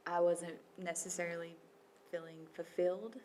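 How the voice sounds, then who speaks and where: A woman from Kentucky who works as an instructor, answering interview questions in a thoughtful, measured way. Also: lowish voice, flat delivery. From Kentucky, USA. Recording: noticeable background noise.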